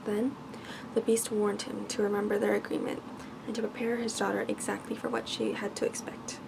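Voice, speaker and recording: A woman's voice: mid-range voice, flat delivery, a little fast. A woman reading a bedtime story aloud in ASMR style as a storyteller. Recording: clearly noisy background ambience.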